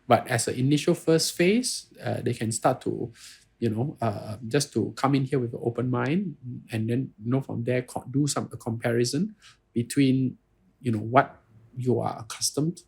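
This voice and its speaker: Gravelly, husky Singaporean-accented man. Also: medium pitch, normal speed, a little mellow. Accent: Singaporean English. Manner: earnest, repeats "you know" and restarts phrases. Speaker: guest lawyer. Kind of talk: conversational interview answers.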